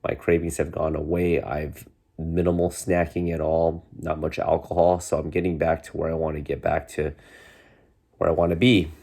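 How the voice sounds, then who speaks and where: A man's voice: level tone, moderate pace, creaky, darkish tone. A man in his forties, congested from a cold, chatting casually in a rambling way to his viewers from his bedroom. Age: 40s. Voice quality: deep voice. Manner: rambling, many restarts and fillers. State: congested, getting over a cold. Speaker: vlogger. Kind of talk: casual chat to camera. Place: bedroom, indoors.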